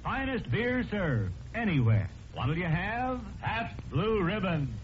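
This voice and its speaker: Loud music and a muffled vintage recording surround a man calling out 1940s radio ad slogans. He stretches them slowly. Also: sits high, sing-song. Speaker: sponsor jingle voice.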